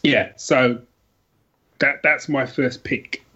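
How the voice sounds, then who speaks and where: A man's voice: medium pitch, fairly even intonation, takes it slowly, sharp tone, slightly rough. A man chatting casually as a podcast guest about books, enthusiastic and rambling as he corrects himself, on dull, lo-fi audio. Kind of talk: casual conversational book chat.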